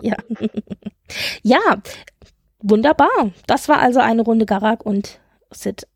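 A woman's voice: a little high, slow pace, slightly rough, dry recording, clean studio sound. A woman chatting casually as a podcast co-host, talking in an emphatic, enthusiastic way. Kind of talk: casual fan podcast chat.

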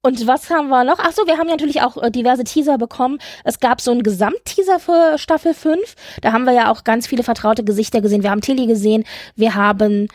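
A woman's voice: fairly high pitch, fast, dry recording, studio quality. A woman co-hosting a podcast in casual conversation, chatty and enthusiastic as she goes. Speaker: podcast co-host. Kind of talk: casual chat about TV series news.

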